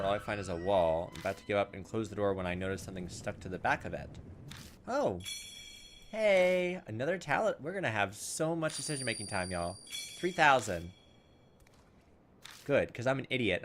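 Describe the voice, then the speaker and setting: A man doing casual gameplay commentary, thinking aloud in an informal, self-deprecating way and reading game text out loud. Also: on the low side, animated, moderate pace, pauses often, slight background noise. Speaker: gaming video host.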